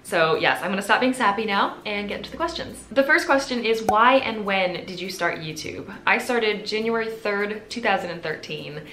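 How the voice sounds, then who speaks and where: A woman in her twenties with a soft, quiet voice, chatting casually to camera as a video host. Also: medium pitch, expressive, moderate pace, hardly any pauses, faint music behind. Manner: soft-spoken, chatty with fillers. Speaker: YouTuber. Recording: roomy echo.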